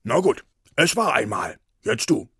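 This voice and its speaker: An actor playing a curious, literal-minded ship's captain in a comic radio dialogue, with a gravelly, husky voice and a naive, nitpicking manner. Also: male voice, medium pitch, big pitch swings, unhurried, fairly bright tone, no room sound, clean studio sound, exaggerated voice. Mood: eager.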